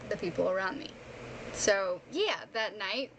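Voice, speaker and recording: A young woman talks casually to her livestream audience from a room at home. Her voice sits at an average pitch and she is chatty, informal and quick to poke fun at herself. The recording carries clearly audible noise. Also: expressive, dull audio. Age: young adult. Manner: chatty, self-deprecating, informal. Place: bedroom streaming setup, indoors.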